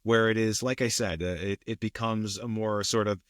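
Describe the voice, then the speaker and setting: A man's voice: fairly low pitch, fairly even intonation, moderate pace, no room sound, studio quality. A man co-hosting a film podcast in casual discussion, often hedging and circling back to rephrase his thought.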